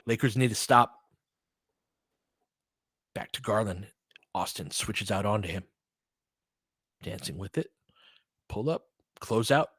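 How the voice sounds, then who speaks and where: A man's voice: on the low side, takes it slowly, long pauses, a bit bright, husky, no room sound. Man calling basketball, dry close sound. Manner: casual, slangy, partisan. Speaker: play-by-play host of a fan stream. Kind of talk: live basketball play-by-play and fan commentary.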